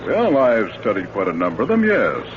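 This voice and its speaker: An actor playing a genial doctor who relishes old murder cases, musing and drily amused, in 1940s radio thriller style. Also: male voice, fairly low pitch, wildly swooping pitch, a little fast, music in the background. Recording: noisy, dull old radio recording.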